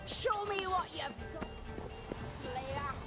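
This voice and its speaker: A woman's voice: high-pitched, extremely slow, music in the background. A woman playing a bold, competitive young island warrior in a fantasy game, brash and taunting. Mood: excited, taunting. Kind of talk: cinematic fantasy game acting.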